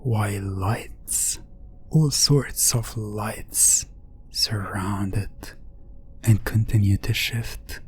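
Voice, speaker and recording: A man narrating a hypnotic ASMR story in the second person, breathy and often whispering, with long pauses and repeated phrases. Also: on the low side, a little mellow.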